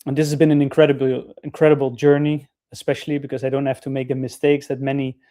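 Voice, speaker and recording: This is a man from the Netherlands, speaking from an office over a video call. His voice is dark and mellow, with little rise or fall in pitch. He tells his story earnestly in long, winding sentences. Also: little pitch movement, fast talker. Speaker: franchise partner, guest speaker. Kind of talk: webinar storytelling.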